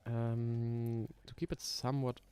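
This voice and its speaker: A man's voice: medium pitch, little pitch movement, slow, warm tone, smooth, no echo. A young German man with a German accent, streaming casually while he builds roller coasters and thinks aloud with many ums and small laughs. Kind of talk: casual live stream chat. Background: keyboard typing.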